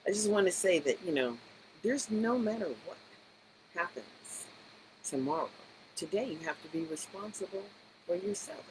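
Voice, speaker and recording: Animated older woman, rambling commentary. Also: sits low, background noise. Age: 60s. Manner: conversational, digressive, emphatic rhetorical questions. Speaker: education advocate. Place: Las Vegas area.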